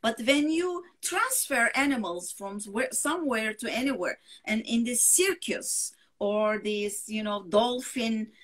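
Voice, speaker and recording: A woman with a Turkish accent and Turkish as her first language answers interview questions in English. Her voice sits a little high, and she often pauses on fillers while she searches for the right word. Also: a bit bright. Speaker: novelist guest. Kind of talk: interview conversation.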